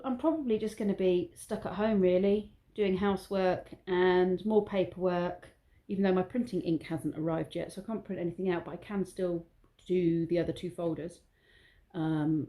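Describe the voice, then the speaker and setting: A woman's voice: on the low side, normal speed, mellow. A woman talking casually to camera in a vlog, chatty and prone to rambling. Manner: rambling, chatty, self-aware waffling. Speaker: vlogger.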